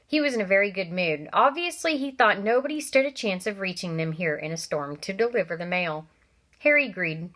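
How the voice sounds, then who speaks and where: Woman, teacher, reading aloud, expressive, asides to children, middle pitch. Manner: expressive, pausing for asides to listeners.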